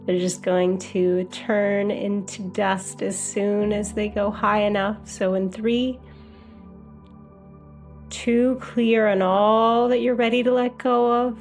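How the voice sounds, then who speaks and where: A woman guiding a meditation as a hypnotherapist, with a soothing, gentle, unhurried delivery. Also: mid-range voice, level tone, faint music behind. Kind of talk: guided meditation.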